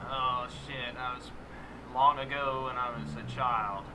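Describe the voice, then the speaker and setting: A man's voice: fairly even intonation, measured pace. A man chatting casually as a panelist, speaking in a blunt, profane way. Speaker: podcast panelist. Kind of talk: casual chat. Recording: clear background rumble and noise.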